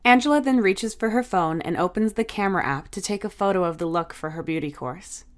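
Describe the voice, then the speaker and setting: A woman's voice: medium pitch, brisk. A woman reading scripted fiction aloud as an audiobook narrator.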